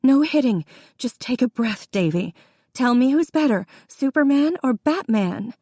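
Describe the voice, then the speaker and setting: A woman voicing a frantic young woman pleading with her brother to calm down. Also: a little slow, dry recording, studio quality. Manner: pleading, pitched up. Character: frantic young woman, trying to stay firm.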